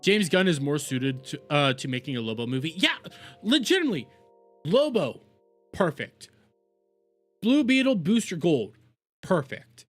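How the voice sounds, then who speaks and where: A man doing casual live-stream commentary, rambling, repeating himself and swearing freely. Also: on the high side. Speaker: streamer reacting to videos.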